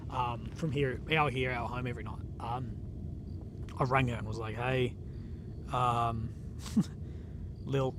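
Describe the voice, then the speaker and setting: Young man, congested, relaxed rambling live-stream chat; mid-pitched voice, noisy open-air sound. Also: slow, long pauses. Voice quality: stuffy nose, a bit unwell. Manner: relaxed, rambling, lots of fillers and "like". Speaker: livestreamer reporting from a conflict zone. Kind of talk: casual live-stream chat reading comments. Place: outdoors at night in a war zone.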